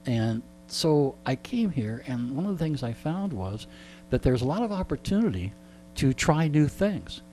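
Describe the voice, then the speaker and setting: A man in his sixties with a mellow, relaxed tone, speaking over an audible hum. He tells stories conversationally, as in a radio interview, with chatty asides to the listeners. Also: medium pitch, moderate pace, poor audio. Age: 60s. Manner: frequent throat clearing. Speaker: radio show host being interviewed. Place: radio studio. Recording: clear background hum and noise.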